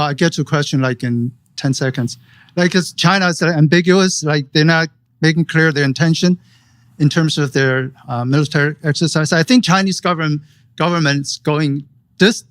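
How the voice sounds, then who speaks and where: A man steps up to ask a question from the floor, his voice sitting a little higher than most men's. He talks haltingly, restarting phrases and leaning on "like.". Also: fairly bright tone. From Taiwan. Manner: halting, restarts often, repeats "like". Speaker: audience questioner. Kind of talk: audience question at a public forum. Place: event hall audience floor.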